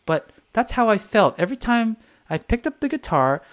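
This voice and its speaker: A man's voice: high-pitched, big pitch swings, moderate pace. Candid, swearing man on a livestream. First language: English. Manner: candid, swears freely, acts out voices of others. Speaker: singer-songwriter. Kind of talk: live stream chat.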